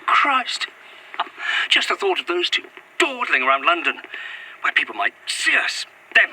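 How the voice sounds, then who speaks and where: An actor playing an irritable, sardonic, sharp-tongued man in an audio drama, with sarcastic, clipped delivery. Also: high voice, huge pitch swings, a little slow, breathy edge, full character voice, rough recording. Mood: exasperated, sarcastic. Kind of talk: found-footage audio drama acting.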